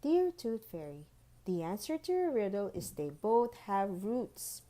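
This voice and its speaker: A woman's voice: mid-range voice, very animated, a little slow, mellow. A woman reading the letters of a polite, clever little girl in an earnest, chatty storybook manner. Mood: warm, grateful.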